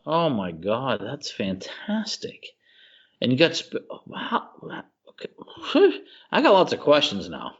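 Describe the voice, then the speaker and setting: A man co-hosting a podcast in casual chat, gushing and excitable, calling his friend "dude.". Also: mid-range voice, slow pace, breathy edge, dull audio. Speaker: podcast co-host.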